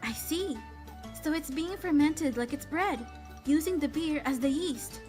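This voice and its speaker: A woman's voice: high voice, measured pace, music in the background. A woman playing a polite, studious young female companion in a comic dub, explaining things precisely and earnestly. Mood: pleased, polite.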